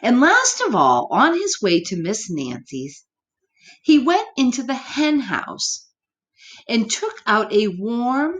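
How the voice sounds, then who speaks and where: A woman's voice: on the high side, sing-song, dull audio. A woman reading a picture book aloud to children expressively, speaking directly to her young listeners. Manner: pauses to address young listeners. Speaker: storytime reader.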